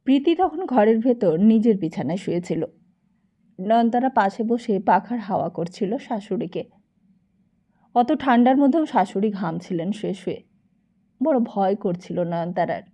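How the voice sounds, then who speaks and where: Bright-voiced woman reading a novel. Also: medium pitch, expressive, moderate pace, highs cut off. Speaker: audiobook narrator. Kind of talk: expressive scripted novel narration.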